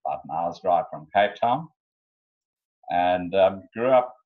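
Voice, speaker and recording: A man's voice: low-pitched, lively intonation, measured pace. A middle-aged man with a South African accent and an Afrikaans mother tongue, telling his life story as an interview guest, hesitant with many ums. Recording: dull lo-fi audio.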